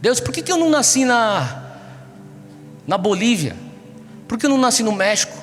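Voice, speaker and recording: A man's voice: extremely high pitch, big pitch swings, moderate pace. A Brazilian man preaching to a young congregation, exhorting them with repeated rhetorical questions. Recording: echoey large hall, music behind. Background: music.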